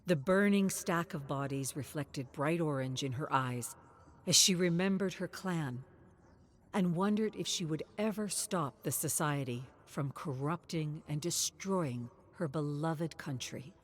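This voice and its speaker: Woman narrator reading a scripted story, voice on the low side. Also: a little slow.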